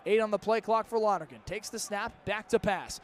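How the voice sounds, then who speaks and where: A young man calling live college football play-by-play for radio in crisp, clipped descriptive phrases. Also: sits high, brisk. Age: young adult. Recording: outdoor stadium broadcast with background noise.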